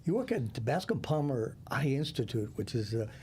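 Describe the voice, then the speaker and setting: A male host with a dark, mellow tone asks encouraging, leading questions to steer the conversation. A faint hiss of noise sits underneath the recording. His pitch stays in an ordinary middle range. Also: big pitch swings, normal speed. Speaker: interviewer, host. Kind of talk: conversational interview.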